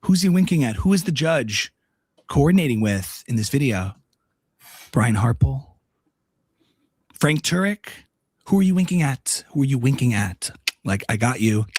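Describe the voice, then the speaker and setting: A man's voice: medium pitch, very animated, moderate pace, pauses often, darkish tone, husky, dry recording. A man playing a smug, suspicious female conspiracy podcaster, repeating phrases insistently in a mock-dramatic commentary. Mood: accusing, mock-outraged. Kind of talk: satirical impersonation of a commentary podcast.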